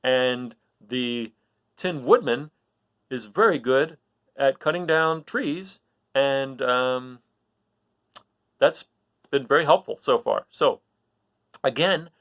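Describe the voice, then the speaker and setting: A man reading a children's story aloud with expressive, dramatic and emphatic phrasing. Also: mid-range voice, slow pace, pauses often, no echo, dull audio.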